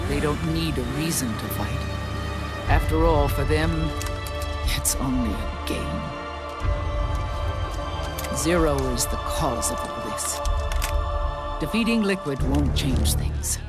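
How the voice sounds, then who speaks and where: An actress playing a commanding older woman who leads a resistance group, speaking in a grave, measured way in a game cutscene. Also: low voice. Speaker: resistance leader. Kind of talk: video game cutscene acting.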